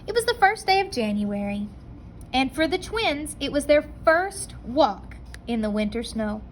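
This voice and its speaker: A woman reading a picture book aloud to children, pausing to point young listeners to the pictures. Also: sits high, big pitch swings, a little hiss or hum.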